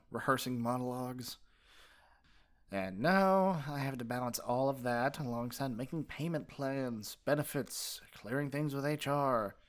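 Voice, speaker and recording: A man's voice: medium pitch, lightly acted. A man acting out a theatrical, sarcastic supervillain. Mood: weary, sarcastic. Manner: pompous mock-grand monologuing that slips into flustered sarcasm. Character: theatrical gloating male supervillain, exasperated, secretly caring nemesis. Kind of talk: ASMR roleplay acting.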